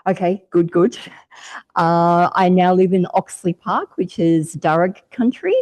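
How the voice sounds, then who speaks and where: A woman introducing herself at a club meeting, measured and slightly unsure. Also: on the low side, a little mellow, no echo. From Australia. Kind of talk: casual self-introduction.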